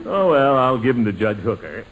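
An actor playing a hearty, pompous middle-aged uncle in 1940s radio comedy, blustery and coaxing. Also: male voice, mid-range voice. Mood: jovial. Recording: noisy old-time radio recording.

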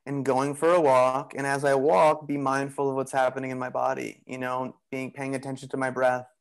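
A man talking as a podcast guest in a casual, relaxed, rambling way, full of "you know" and "like". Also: mid-range voice, fairly quick, gravelly. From Greek heritage. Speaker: podcast guest, bodywork practitioner. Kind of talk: casual conversational interview.

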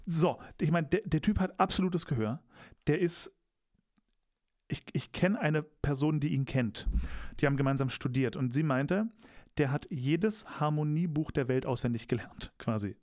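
A man's voice: a little high, moderate pace, a little mellow, no echo, studio quality. A young man co-hosting a casual chat, enthusiastic and rambling, teasing his co-host. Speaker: podcast co-host.

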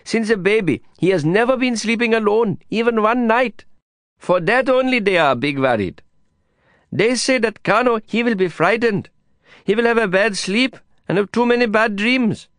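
A man doing a tender young guide, high and earnest. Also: lively intonation, moderate pace, fairly bright tone, no echo, studio quality. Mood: earnest pleading. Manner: earnest, plaintive, broken English phrasing.

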